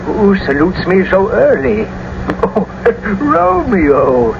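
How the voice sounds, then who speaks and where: An actor playing a kindly, teasing older friar in a classical radio drama, with an expressive, avuncular delivery. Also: male voice, sits high, takes it slowly, gravelly, exaggerated voice. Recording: muffled, noisy old radio broadcast recording.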